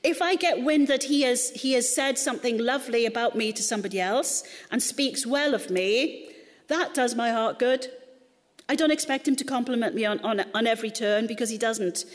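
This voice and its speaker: A middle-aged woman speaking to a church congregation in a chatty, joking way. Her voice sits a little high for a woman, and the long reverb of a hall hangs on every word. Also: moderate pace. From Wales. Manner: chatty, humorous, conversational. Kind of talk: sermon talk.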